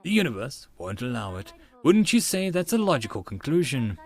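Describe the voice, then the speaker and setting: A man's voice: mid-range voice, very animated, moderate pace, darkish tone. A man voicing a composed, brilliant young woman scientist who lectures precisely and firmly in an audiobook. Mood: emphatic, lecturing. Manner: precise, firm, didactic.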